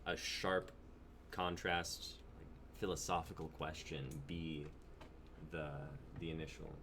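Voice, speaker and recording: A man's voice: very deep voice, fairly even intonation, slow, background noise. A young man hosting a casual livestream, talking in an informal, chatty way. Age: young adult. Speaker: host of a script-reading livestream. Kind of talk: casual livestream group chat.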